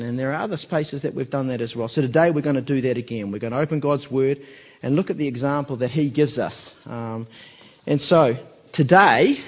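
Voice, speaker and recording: A man with a croaky voice, filling in as preacher with a chatty church sermon full of ums and tag questions. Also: mid-range voice. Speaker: lay preacher standing in for the pastor. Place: church hall. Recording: dull recording with long church hall reverb.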